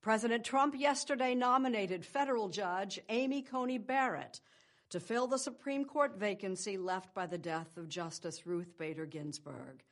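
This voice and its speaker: An older woman hosting a morning news program, reading scripted introductions in a measured, polished way. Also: medium pitch. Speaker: news show host. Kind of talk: scripted broadcast narration.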